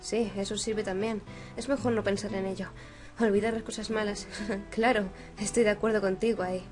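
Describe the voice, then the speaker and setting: A woman with an accent from Spain voicing a dazed, gentle young man from a game, talking softly to himself. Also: medium pitch, level tone, fairly quick, soft background music, natural voice. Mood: sympathetic. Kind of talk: reading game dialogue aloud.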